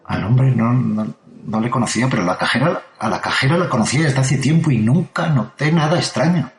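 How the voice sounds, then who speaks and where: A man's voice: mid-range voice, brisk, lightly acted. A man from playing a frightened patient in a psychiatric ward who sounds anxious and shaken. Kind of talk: audio drama acting. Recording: roomy echo.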